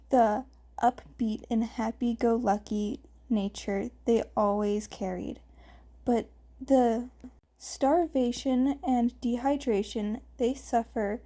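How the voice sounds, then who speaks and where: Slow, mellow woman reading a story. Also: on the high side. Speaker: fan fiction podfic reader. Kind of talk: scripted story narration, read aloud.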